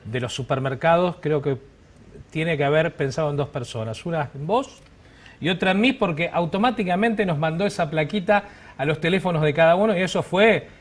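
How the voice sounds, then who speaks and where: An Argentine man presenting conversationally as the host of a current affairs show. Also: fairly high pitch. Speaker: television and radio host.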